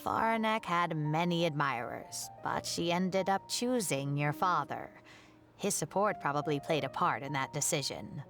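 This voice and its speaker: A woman voicing a wise, kindly older professor in a video game, speaking in a measured, reflective way. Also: low-pitched, fairly bright tone, soft background music. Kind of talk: scripted game dialogue.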